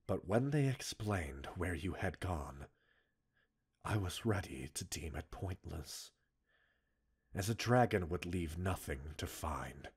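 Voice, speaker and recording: A male actor voices a stern, cold commander in a scripted ASMR roleplay. His deep, raspy voice reprimands a subordinate in formal, measured phrases, swinging widely between low restraint and forceful emphasis. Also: heavily acted. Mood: angry, wounded concern.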